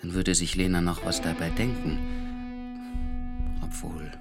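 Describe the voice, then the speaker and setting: A man's voice: deep voice, fairly even intonation, unhurried, loud music behind the voice. An actor in a radio drama playing a hesitant, brooding man who muses to himself. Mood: musing.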